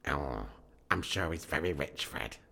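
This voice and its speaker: Man acting young wife, low pitch, disapproving, comic storybook style, long echo. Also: lively intonation, a little slow, creaky, a bit bright, full character voice. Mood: hinting. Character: pretty young wife, indignant about her miserly uncle-in-law. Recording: long hall-like reverb.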